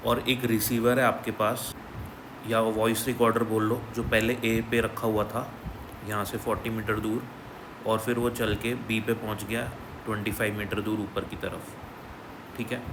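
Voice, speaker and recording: A man's voice: fairly low pitch, level tone, moderate pace, background noise. Man explaining a physics exam problem. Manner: explanatory, repeats "ठीक है" as a check-in. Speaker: physics teacher.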